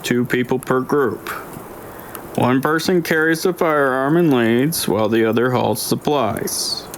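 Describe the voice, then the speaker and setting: Guy doing a gravelly old squad boss, cautious n stern, gives orders, audiobook drama, bit of bg noise. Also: mid-range voice, natural voice. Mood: firm, briefing. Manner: commanding, deliberate instructions. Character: aged, authoritative male crew leader, stern and cautious.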